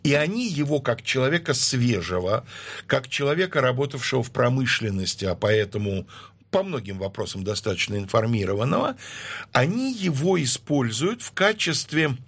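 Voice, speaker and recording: A man speaking as a guest historian in an interview, explaining at a measured pace with many hesitations. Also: medium pitch, big pitch swings, a bit bright, husky. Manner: measured, lecturing with frequent hesitations.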